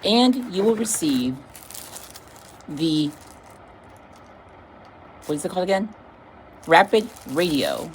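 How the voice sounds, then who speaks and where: A woman giving a casual, informal unboxing demonstration as a product reviewer, speaking haltingly with vague, everyday wording. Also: on the low side, expressive, slight background noise, rough recording.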